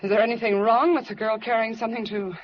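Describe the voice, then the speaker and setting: A woman's voice: fairly high pitch, steady tone, fast talker, close to an everyday voice. A woman in a 1940s radio noir drama playing an evasive, frightened young femme fatale, defensive and indignant. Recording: noisy, dull vintage radio recording.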